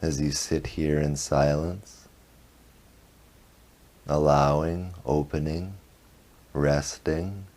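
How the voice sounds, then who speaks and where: Very deep, slow-speaking meditation guide man. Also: little pitch movement. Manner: slow, soft, with long pauses between short phrases. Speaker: meditation teacher.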